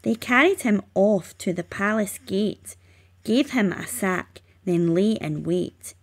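A woman reading rhyming children's narration aloud, expressively and with measured emphasis. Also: medium pitch. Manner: measured, emphatic on sound words. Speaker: storybook reader.

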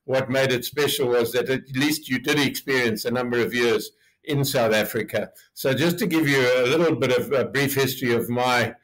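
Old guy nearly eighty from zimbabwe, telling his story with lots of uhs, middle pitch. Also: normal speed, poor audio. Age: 70s. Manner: rambling, relaxed storyteller with many fillers. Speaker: hotel group chairman, guest. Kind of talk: interview storytelling.

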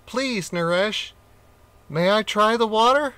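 Tiny storybook monkey, man voicing: high, polite, eager. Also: slow, bright, forceful tone, clean tone, no room sound, full character voice.

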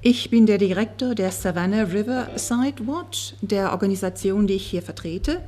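A woman working as a conference interpreter, turning a speaker's words into steady, fluent speech at a table microphone in a conference room. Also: mid-range voice, moderate pace, slight background noise. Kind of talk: consecutive interpreting.

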